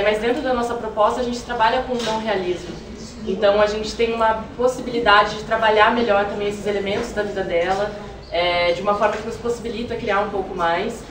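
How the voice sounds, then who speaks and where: Young brazilian actress explaining stuff in an interview, earnest, echoey room, a bit far from the mic. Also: female voice, mid-range voice. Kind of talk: reflective interview answer. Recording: roomy, distant mic, noisy background.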